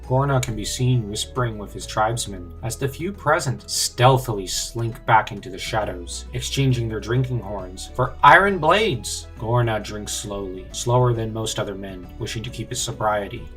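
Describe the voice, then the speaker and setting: A man's voice: medium pitch, sharp tone. A man narrating a scripted fantasy story as a co-host.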